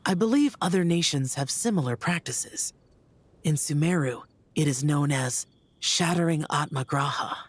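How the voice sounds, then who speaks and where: A woman voicing a stoic, youthful male immortal warrior in a video game, husky, solemn and measured, with formal phrasing. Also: deep voice, no room sound. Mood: solemn, reflective.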